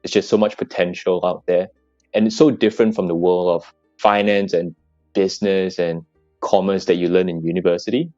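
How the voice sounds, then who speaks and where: A young man from Malaysia speaks conversationally as a podcast guest. His voice is deep, and the recording is dry and a little lo-fi. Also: fairly even intonation, normal speed, darkish tone, no echo. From Kuala Lumpur, Malaysia. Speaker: startup co-founder, podcast guest. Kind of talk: casual personal story in an interview. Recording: dull lo-fi mic, faint music.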